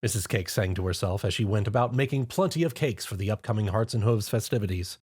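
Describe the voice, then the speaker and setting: A man narrating a fan story in a lively storytelling manner. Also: on the low side, quick pace, breathy edge. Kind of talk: fan fiction reading.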